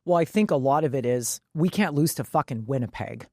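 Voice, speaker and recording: A woman's voice: rumbling low, expressive, fairly quick, dark tone, dry recording, studio quality. A woman co-hosting a sports podcast, chatting casually in a blunt, emphatic way with frequent swearing.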